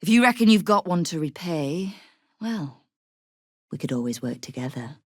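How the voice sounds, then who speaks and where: A woman's voice: deep voice, big pitch swings, normal speed, no room sound. An actress playing a shrewd, hard-edged female crime guild boss in a video game, with a dry, wry manner and veiled threats. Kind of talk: scripted video game voice acting.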